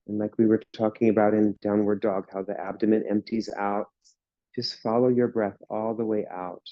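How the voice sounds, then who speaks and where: A man guiding a yoga class through slow breathing and relaxation, speaking in calm, soothing instructions. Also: on the low side, no room sound, lo-fi sound. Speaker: yoga teacher.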